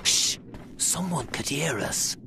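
An actor playing a gossiping household servant in a video game, secretive and conspiratorial. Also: male voice, fairly high pitch, lively intonation, slow pace, gravelly, background noise, full character voice. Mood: excited secrecy. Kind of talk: video game character acting.

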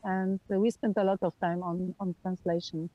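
A woman in her forties from Poland with a Polish accent, a film director talking over a video call from outdoors with an earphone mic, pausing often to search for words. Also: fairly low pitch, flat delivery, lo-fi sound. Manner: halting, searching for words with many fillers. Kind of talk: remote interview. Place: outdoors on a hillside.

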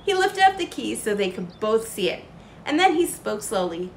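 A young woman narrates a children's picture book with very animated intonation. Her voice sits fairly high. The sound is roomy, with faint noise behind her. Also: normal speed. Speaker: children's storytime host. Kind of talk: expressive picture-book read-aloud.

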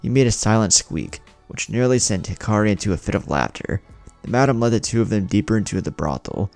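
A man with a low, creaky voice reads a scripted story as a dramatic narrator. His pitch moves up and down in an animated way, with soft music underneath. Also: fairly quick.

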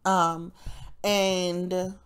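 A woman's voice: fairly low pitch, little pitch movement, very slow, clean tone. Nasal, congested woman rambling. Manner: profane, rambling, frequent self-interrupting laughs. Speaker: vlogger. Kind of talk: casual rambling monologue.

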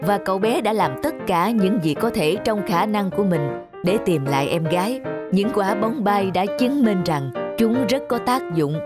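Middle-aged cartoon shopkeeper uncle played by a woman, kindly, sincere, mid-pitched, loud music behind. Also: expressive, moderate pace, lightly acted. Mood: reflective. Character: kindly middle-aged male toy shop owner.

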